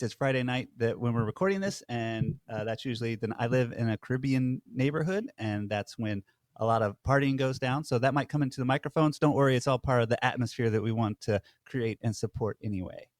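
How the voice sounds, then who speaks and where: A man's voice: medium pitch, fairly quick, no room sound. A young adult man chats as a podcast co-host in an ordinary mid-range voice. He sounds friendly and self-deprecating, peppering his talk with fillers. The recording is dry and close. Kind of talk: casual chat over a video call.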